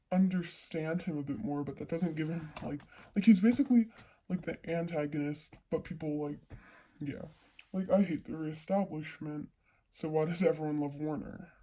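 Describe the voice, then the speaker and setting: A mellow, soft-edged voice run through a voice-changing effect, in a mid register. The speaker is a book vlogger giving casual reviews, rambling along informally with plenty of slang. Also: medium pitch, poor audio. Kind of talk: casual monthly reading wrap-up chat.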